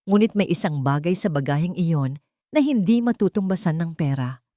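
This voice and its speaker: A woman narrates a dramatic scripted love story. Her voice sits on the low side, recorded close and dry with no room sound. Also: expressive, normal speed, warm tone, no room sound.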